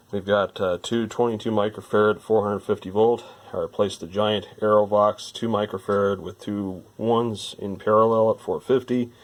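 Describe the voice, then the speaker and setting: Very low-pitched man thinking aloud while tinkering. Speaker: electronics repair hobbyist presenting a restoration. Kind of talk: informal hands-on repair narration.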